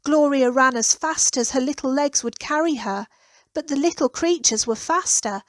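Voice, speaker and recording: A woman narrating a children's storybook in an expressive, deliberate storytelling manner. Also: high voice, dry recording. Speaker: author reading own children's story.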